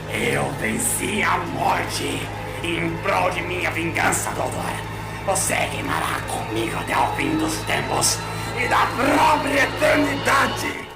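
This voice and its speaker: A man voicing a vengeful immortal male vampire villain, shouting slow gloating threats. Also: sits high, big pitch swings, creaky, loud music behind the voice, voice pushed up, heavily acted. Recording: roomy echo.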